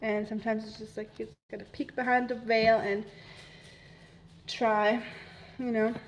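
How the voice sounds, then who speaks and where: Chatty German woman, a touch high. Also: flat delivery, a little slow, a little hiss or hum, poor audio. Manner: rambling, chatty, laughs easily. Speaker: livestream host. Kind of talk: casual livestream chat answering viewer comments.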